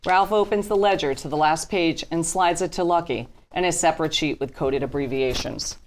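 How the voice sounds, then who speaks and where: A woman's voice: sits low, moderate pace, slight background noise. A woman narrator reading screenplay stage directions at a table reading.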